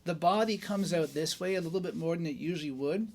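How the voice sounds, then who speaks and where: A man's voice: on the high side, fast talker, a little mellow, slight background noise. Chatty man reviewing a guitar. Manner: chatty, enthusiastic, many fillers and tag questions. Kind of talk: casual gear review.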